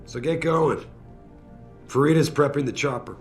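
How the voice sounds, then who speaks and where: A man's voice: mid-range voice, moderate pace, close to an everyday voice. A man playing an idealistic, driven company chief in a video game, speaking with earnest, persuasive emphasis. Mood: earnest, resolute. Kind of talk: cinematic video game acting. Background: faint background music.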